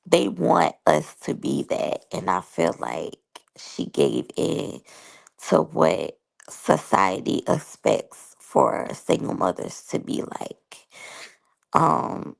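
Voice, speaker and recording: A woman's voice: low-pitched, sing-song, takes it slowly, creaky, rough recording. A woman in her thirties reviewing a reality show and sharing personal stories in a candid, chatty way, talking straight to her viewers. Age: 30s. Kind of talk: casual review and personal storytelling.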